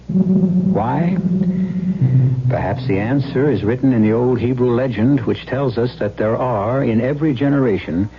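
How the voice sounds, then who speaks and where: A man hosting and narrating a radio drama in a measured, dramatic, scripted 1970s style. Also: lowish voice. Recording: muffled old radio broadcast with music.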